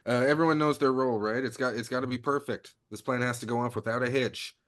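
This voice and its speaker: Man playing an earnest mid-pitched mission planner. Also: steady tone, quick pace, a bit bright, natural voice. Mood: urgent, earnest. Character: earnest male mission planner on a heist crew. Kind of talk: comedy script table read.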